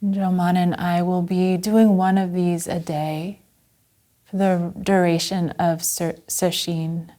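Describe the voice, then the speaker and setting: Female meditation teacher. Lowish pitch, very mellow tone, gentle and unhurried guided delivery. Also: level tone. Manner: gentle, unhurried, with long pauses. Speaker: Zen Buddhist teacher guiding a meditation.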